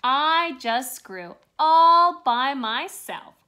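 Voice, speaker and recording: A woman voicing excitable, boastful little blades of grass in a children's storybook, with exclamatory, drawn-out delivery. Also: high-pitched, exaggerated voice. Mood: excited, boastful.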